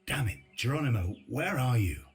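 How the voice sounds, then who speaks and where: An actor playing a stern, gruff male resistance commander in a video game cutscene, curt and commanding. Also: medium pitch, lively intonation, moderate pace. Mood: impatient.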